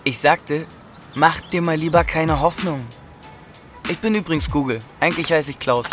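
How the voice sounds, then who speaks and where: An actor playing a chatty, cocky know-it-all who trades in gossip, in a radio drama. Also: male voice, a little high, normal speed, natural voice. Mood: sly, chatty. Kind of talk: naturalistic radio drama acting. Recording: music under the scene. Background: music.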